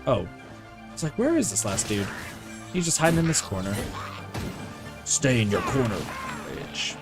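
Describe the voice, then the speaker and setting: A man's voice: medium pitch, big pitch swings, moderate pace. A man streaming a video game, commenting casually and jokingly as he reacts aloud. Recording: game music behind voice. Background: game music.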